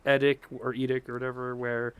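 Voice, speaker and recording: A man's voice: medium pitch, flat delivery, fairly quick, clean tone. A man co-hosting a podcast with casual, jokey banter about movies, laughing often as he talks. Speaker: podcast host.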